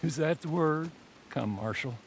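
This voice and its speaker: An actor playing a sly, folksy old man of the American Old West in a western video game, with a gravelly voice and a wry, theatrical drawl. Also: on the high side, a little slow, slight background noise. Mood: wry, amused. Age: older. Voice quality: creaky, gravelly.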